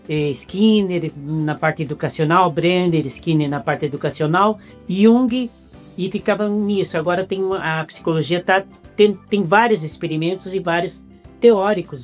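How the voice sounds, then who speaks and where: A woman with a Brazilian Portuguese accent hosting an interview in a talkative, casual way. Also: lowish voice. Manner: talkative, lists ideas at length. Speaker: interview host.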